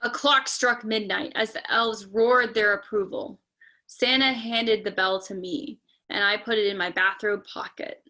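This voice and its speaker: A woman's voice: medium pitch, fairly even intonation, a little slow, bright, forceful tone. A woman reading a children's picture book aloud expressively, with chatty asides spoken directly to young listeners. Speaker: storytime reader.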